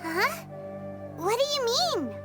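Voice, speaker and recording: A woman voicing a small, childlike, curious and blunt fairy companion in a video game, with a squeaky voice. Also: big pitch swings, takes it slowly, exaggerated voice. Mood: curious, puzzled. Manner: inquisitive, exclaiming. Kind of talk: video game cutscene voice acting. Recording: children's music behind the voice.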